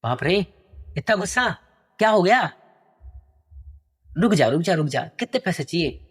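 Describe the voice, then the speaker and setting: A man voicing a weary, gentle father who pleads calmly and patiently with his son. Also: high-pitched, a little slow, slightly rough, full character voice. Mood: pleading.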